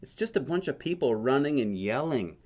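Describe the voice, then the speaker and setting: A man's voice: medium pitch, sing-song, brisk, a little mellow, natural voice. A man voicing an imaginative, pensive eleven-year-old boy in a picture book, musing as if to himself. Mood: dismissive.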